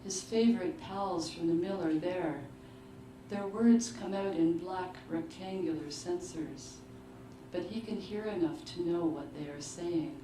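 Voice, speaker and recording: A woman giving a measured, deliberate literary reading of short fiction at a live book launch. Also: fairly low pitch. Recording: roomy, distant mic, clearly noisy live venue.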